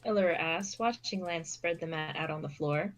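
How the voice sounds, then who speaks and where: A woman reads scripted story narration in a slightly low voice. The home recording carries faint noise and some clicks. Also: normal speed. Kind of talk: scripted narration of fan fiction.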